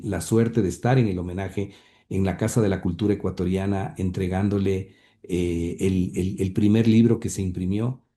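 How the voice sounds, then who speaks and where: Deep-voiced man, halting and earnest. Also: dark tone. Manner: halting, many fillers and repeated words; earnest, heartfelt. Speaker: interview guest, grandson and author. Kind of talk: conversational interview, reading quotes aloud.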